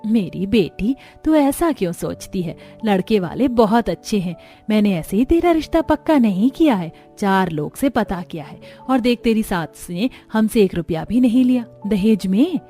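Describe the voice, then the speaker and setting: A woman's voice: mid-range voice, animated, moderate pace, hardly any pauses, warm tone, soft background music, natural voice. A woman voicing a loving, firm middle-aged mother who advises and reassures her daughter in a cartoon family story. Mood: reassuring. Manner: persuasive, affectionate advising.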